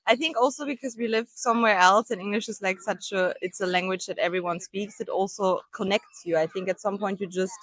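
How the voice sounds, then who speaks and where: A woman speaks English with a strong German accent in a casual interview. She is chatty and laughs easily. Her voice is mid-pitched and sounds dry and close. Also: fairly quick, few pauses, a bit bright, no room sound, low-quality recording. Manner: chatty, laughs often, fillers like "like".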